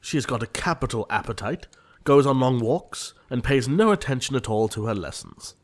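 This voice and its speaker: An actor playing an earnest, fussy young gentleman in a comedy audio play. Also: medium pitch, sing-song, moderate pace, lightly acted. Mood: brisk, dismissive. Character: earnest, easily exasperated young English gentleman, lovesick.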